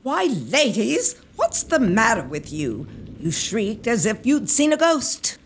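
Woman doing bossy hearty old auntie in a gothic comedy, high voice, super animated, teasing, takes her time. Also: slow pace, noisy background, full character voice. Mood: scoffing amusement. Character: cantankerous, hearty old aunt and guardian with nautical talk. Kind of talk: gothic comedy audio drama.

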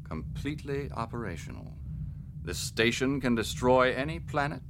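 A man plays a proud imperial officer with a gravelly, lively voice. He is formal and deferential, smoothly flattering his superior in a radio drama, with noticeable noise behind him. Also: mid-range voice, moderate pace, lightly acted. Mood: proud, tempting. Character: proud, flattering military officer serving the governor. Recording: noisy ambience behind the voice.

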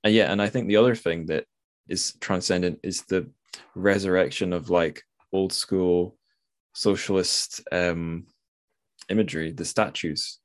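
A man's voice: low-pitched, steady tone, unhurried. A man hosting a casual literary discussion, thinking aloud and correcting himself as he goes. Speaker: podcast host.

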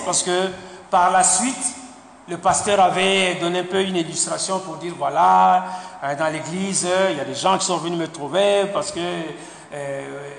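A man preaching a sermon, halting and repeating words, punctuating points with amen. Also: high-pitched, vocal fry. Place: church sanctuary. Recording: echoey hall with faint noise.

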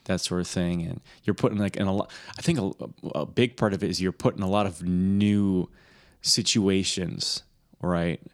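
A man's voice: sits low, steady tone, normal speed. A man in his early twenties with a Midwestern American accent, chatting and rambling through stories as a podcast co-host. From Michigan, US Midwest. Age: early 20s. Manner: rambling, informal storytelling. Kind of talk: casual conversation.

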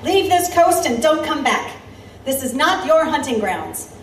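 Woman as plucky reporter, high and roomy. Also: sing-song, unhurried, recorded from a distance. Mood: defiant. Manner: assured, wry. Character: intrepid, confident female Victorian newspaper reporter. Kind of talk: audio drama acting. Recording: roomy, off-mic, faint noise.

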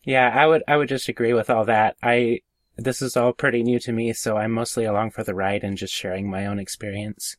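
A man's voice: mid-range voice, steady tone, fairly quick, no echo. A man co-hosting a podcast, chatting informally and a little tentatively. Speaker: podcast co-host. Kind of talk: casual chat.

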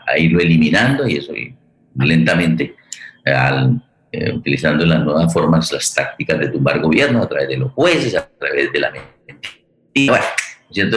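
A Colombian man with a very low voice hosts a discussion in a conversational way. He often repeats himself while searching for words. The sound has a strong room echo. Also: fairly bright tone, slight background noise. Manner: halting, searching for words with repetitions. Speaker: forum host. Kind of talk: conversational political and cultural discussion.

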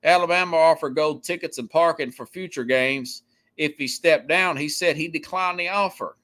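Bright, forceful man talking sports. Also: on the high side. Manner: halting, repetitive, emphatic. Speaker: sports podcast host. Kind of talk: unscripted opinionated sports commentary.

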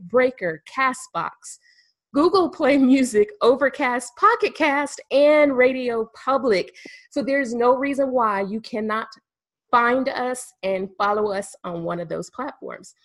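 A woman hosting a casual podcast chat. Her voice sits a little high, and she comes across upbeat and talkative, often laughing between her questions. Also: fairly bright tone. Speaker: podcast host.

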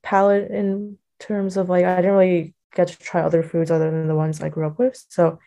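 Young american college girl getting interviewed, mellow n kinda fry voice, says like and you know a lot. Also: fairly low pitch, level tone, brisk. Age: young adult. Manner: hesitant, loosely phrased, heavy use of like and you know. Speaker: interview guest, college student. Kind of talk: casual reflective interview answers.

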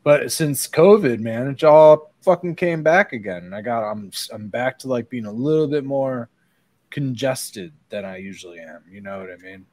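A man's voice: medium pitch, normal speed, a little mellow, no room sound. Nasal Midwestern man, congested and rambling. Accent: Midwestern American. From American Midwest. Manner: casual, rambling, swears often, clears throat. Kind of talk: casual chat.

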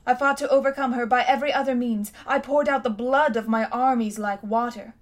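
A woman voicing a cold, imperious tyrant queen in a storybook reading, speaking loudly and grandly. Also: fairly high pitch, steady tone, a little fast, natural voice. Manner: haughty, commanding, grand.

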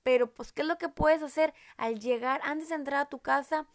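A woman's voice: high voice, moderate pace. A woman from Monterrey with a Monterrey Mexican accent, hosting a casual podcast chat in a chatty, rambling way, fond of diminutives. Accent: Monterrey Mexican Spanish. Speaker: podcast host.

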